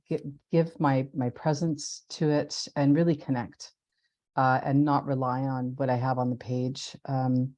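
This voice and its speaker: A woman with a low, warm voice leads a writing workshop over a video call. She speaks thoughtfully, pausing often and reaching for words. Her first language was an Italian dialect. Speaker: workshop facilitator. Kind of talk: casual group talk on a video call.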